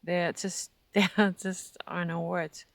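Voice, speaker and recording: A Finnish-accented speaker, native in Finnish, gives a hesitant, reflective spiritual vlog, often searching for words and laughing softly. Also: medium pitch, flat delivery, slow, no echo. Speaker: spiritual vlogger. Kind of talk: casual reflective monologue.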